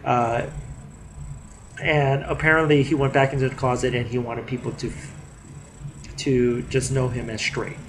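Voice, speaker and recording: A man's voice: mid-range voice, flat delivery, unhurried, long pauses. A young man talking casually to his webcam in a living room, a little nasal from a cold, with lots of fillers and restarts. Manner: chatty, many fillers and restarts. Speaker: vlogger. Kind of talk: casual video diary. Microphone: webcam at desk distance.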